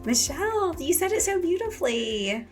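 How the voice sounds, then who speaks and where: A woman in her forties, a school administrator talking as an interview guest, warm and chatty, laughing often. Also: very high-pitched, very animated, moderate pace, soft background music. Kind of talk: casual interview chat.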